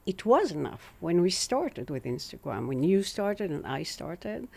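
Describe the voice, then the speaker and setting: A woman's voice: lowish voice, very animated, a little slow, creaky, a little mellow. A woman hosting an interview show, presenting her guest and asking questions. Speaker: interviewer and host. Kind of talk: scripted introduction and interview.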